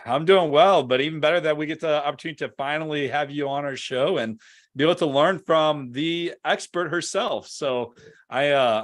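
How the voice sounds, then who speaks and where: A man's voice: on the high side, fairly bright tone. A man hosting a business interview show, promoting his community and introducing a guest in an upbeat, conversational way. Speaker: podcast host.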